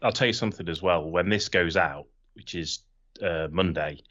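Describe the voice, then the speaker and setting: A man's voice: deep voice, moderate pace, a bit bright, dull audio. A man talking casually as a panelist on a podcast.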